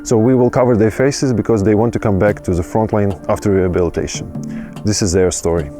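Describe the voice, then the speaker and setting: A man presenting a documentary in a calm, measured way. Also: on the low side, over music. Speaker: host and presenter.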